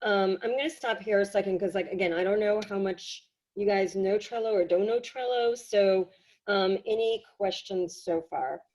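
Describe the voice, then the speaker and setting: A woman giving a casual software tutorial, explaining in a halting, conversational way, slightly hoarse from a cold. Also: mid-range voice, rough recording. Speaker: workshop presenter. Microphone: video call.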